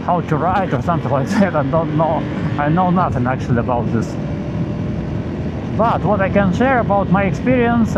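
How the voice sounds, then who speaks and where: Belarusian-accented man vlogging from his bike, halting non-native English, muffled sound under heavy traffic noise. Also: a little high. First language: Belarusian and Russian. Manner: halting, many fillers and restarts. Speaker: motorcycle vlogger. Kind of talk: casual chat while riding. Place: riding a motorcycle on city streets in rain. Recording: muffled lo-fi with loud road noise. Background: loud traffic and wind noise.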